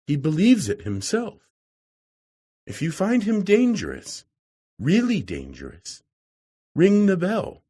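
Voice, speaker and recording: Man reads as an anxious fretful wife, voice raised higher, husky tone, storybook reading. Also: mellow, exaggerated voice. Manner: worried, pleading. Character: nervous fretful middle-aged wife, anxious.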